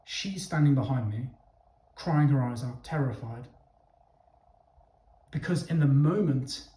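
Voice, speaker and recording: Young adult man, mellow tone, roomy echo, casual vlog monologue, blunt and emphatic, swears freely. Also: mid-range voice. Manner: addresses listeners directly, with repeated "okay?" checks. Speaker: vlogger giving his opinion. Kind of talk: casual opinion monologue to camera. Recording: echoey, roomy sound.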